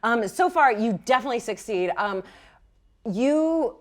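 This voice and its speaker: A woman's voice: a little high, animated, unhurried. A woman game master narrating and explaining rules in an improvised, playful and encouraging way.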